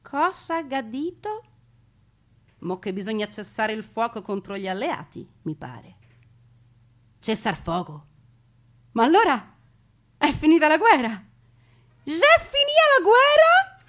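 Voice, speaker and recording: Homesick Italian infantryman voiced by an actress, Veneto accent, raised pitch, naive and emotional, theatre monologue. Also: wildly swooping pitch, measured pace, pauses often, fairly bright tone, exaggerated voice. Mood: jubilant, shouting. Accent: northern Italian, Veneto. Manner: colloquial, emotional dialect exchanges. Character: naive, excitable Italian soldier, homesick young man.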